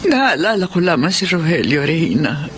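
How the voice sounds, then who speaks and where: A woman's voice: sits low, sing-song, moderate pace, a little hiss or hum. An actress with a Filipino accent playing a sorrowful, ailing elderly mother-in-law in a radio drama. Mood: grieving, bitter. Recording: music behind the voice.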